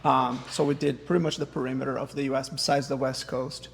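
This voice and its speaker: A man from Rio de Janeiro, relaxed as an interview guest, filling pauses with "um". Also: mid-range voice, creaky, long reverb tail, a little hiss or hum. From Brazil, Rio de Janeiro. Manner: relaxed, finishes his partner's sentences, many "um"s. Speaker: guest, father. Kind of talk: casual interview conversation.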